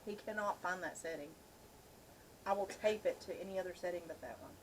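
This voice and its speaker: A woman's voice: fairly low pitch, fairly quick, a little hiss or hum, low-quality recording. A middle-aged woman chatting casually with a dry, joking manner. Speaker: video host. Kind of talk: casual chat.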